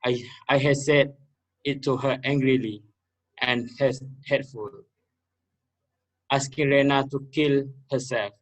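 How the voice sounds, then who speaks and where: A bitter, guilty young student, played by a man in an amateur stage reading. He speaks very slowly and haltingly at a medium pitch, with a defensive edge. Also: fairly even intonation, natural voice, poor audio. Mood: bitter, regretful. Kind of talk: amateur reader's theater acting.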